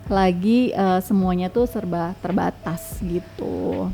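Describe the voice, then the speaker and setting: A woman's voice: on the low side, slow pace, gravelly, warm tone. A woman with an urban Jakarta accent giving casual explanations as an interview guest, informally mixing in English words. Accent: urban Jakarta Indonesian. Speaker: company leader, interview guest.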